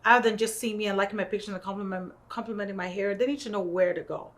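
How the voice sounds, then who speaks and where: A woman's voice: mid-range voice, racing. A woman in her thirties from Kenya, with a Kenyan accent mixed with English, hosting a casual podcast chat and telling stories full of "like" and "you know". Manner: animated storytelling, frequent "like" and "you know". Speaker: podcast host.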